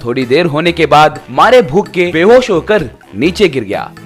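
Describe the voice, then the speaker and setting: Lively storybook narrator over soft music. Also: medium pitch, moderate pace.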